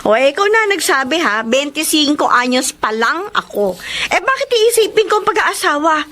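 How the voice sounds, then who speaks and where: A woman's voice: high voice, very animated, normal speed, bright, forceful tone, slight background noise, full character voice. An actress playing a feisty, hardworking Filipino daughter in her twenties, fed up with her family, in a Tagalog radio drama. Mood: feisty, dismissive. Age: 20s.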